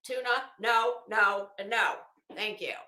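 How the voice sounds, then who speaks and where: Bright-voiced American woman chatting in an echoey room. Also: mid-range voice, slow pace. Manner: chatty, emphatic, blunt, swears casually. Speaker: livestream host. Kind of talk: casual livestream chat with viewers. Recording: echoey, roomy sound.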